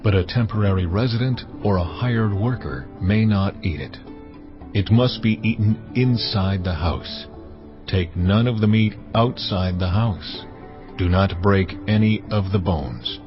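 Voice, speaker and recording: The voice of God issues measured, authoritative decrees, a man acting the part. The tone is low and steady. Music plays beneath on a slightly dull track. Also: close to an everyday voice. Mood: solemn. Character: solemn, commanding voice of God. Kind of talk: dramatized scripture reading. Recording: dull audio over music.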